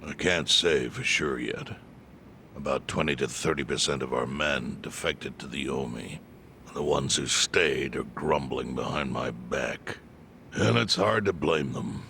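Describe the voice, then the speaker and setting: A man voicing a calm, all-knowing clan chairman who speaks in a measured, grave way. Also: extremely low pitch, gravelly, slight background noise, full character voice.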